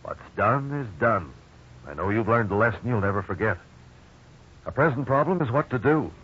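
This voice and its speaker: An actor playing a steady, reassuring middle-aged father, worried but firm, in a 1950s radio drama. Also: fairly low pitch, moderate pace, husky. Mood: grave, resigned. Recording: dull, noisy old radio recording.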